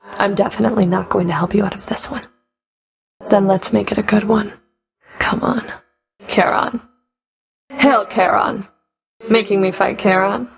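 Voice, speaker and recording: A woman's voice: medium pitch, fairly even intonation, measured pace, creaky, long pauses. A woman playing a stern, sardonic underworld Fury in a video game, cool, taunting, curt and commanding. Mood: sardonic, menacing. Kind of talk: video game character voice lines.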